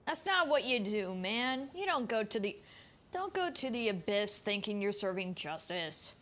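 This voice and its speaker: A woman's voice: mid-range voice, very animated, moderate pace, a bit bright, a little hiss or hum. A woman game streamer giving casual live commentary and reading along with dialogue. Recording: game music behind the voice.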